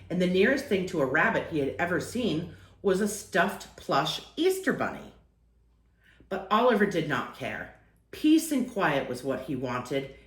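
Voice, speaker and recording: A woman's voice: deep voice, echoey room. A woman reading a children's picture book aloud as a storyteller, acting out phrases and lingering on key words.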